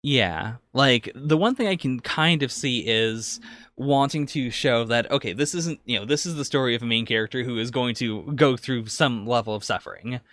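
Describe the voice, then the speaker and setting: A man's voice: mid-range voice, normal speed, a bit bright. A man co-hosting a podcast, casually discussing anime and thinking aloud as he analyses it. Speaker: podcast co-host.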